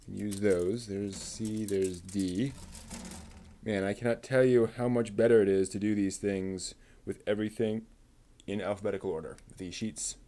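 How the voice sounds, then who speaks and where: A man quietly talking himself through assembling a plastic model kit, half-mumbling as he works. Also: on the low side, a little hiss or hum. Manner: mumbling to himself while working, unhurried. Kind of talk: muttered build commentary.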